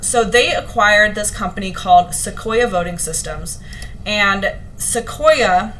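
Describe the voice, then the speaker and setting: A young woman with a slightly hoarse voice, chatting earnestly to her viewers on a vlog, with plenty of ums and restarts. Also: medium pitch, a bit bright, slight background noise, rough recording. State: a little hoarse. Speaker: vlogger. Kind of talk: casual talk to camera to live viewers.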